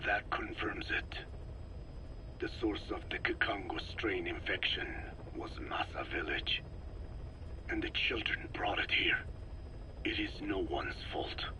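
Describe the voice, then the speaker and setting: An actor playing a frail, grave old scientist in a video game, speaking in slow, formal, halting phrases with a breathy rasp. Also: male voice, on the low side, whispered, lightly acted, rough recording. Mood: grave, explanatory. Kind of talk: dramatic video game dialogue.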